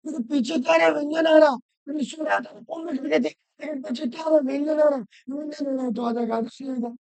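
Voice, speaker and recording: A woman teaching Hindi grammar, hoarse from a cold, asking questions and answering them herself while repeating the rules loudly. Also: high voice, fast, dry recording. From Rajasthan. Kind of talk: classroom-style lesson.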